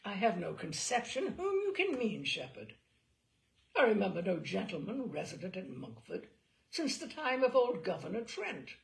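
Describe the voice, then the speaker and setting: A woman's voice: medium pitch, very animated, moderate pace, vocal fry. A woman voicing a vain, snobbish older male baronet with a pompous, dismissive manner in an audiobook of a classic novel. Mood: haughty, dismissive.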